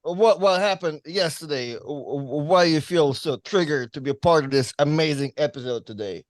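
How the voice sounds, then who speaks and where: A man's voice: fairly high pitch, normal speed, no room sound, studio quality. A non-native English-speaking man co-hosting a podcast, telling stories loosely with chuckles. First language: not English. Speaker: podcast co-host and producer. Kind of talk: casual podcast banter.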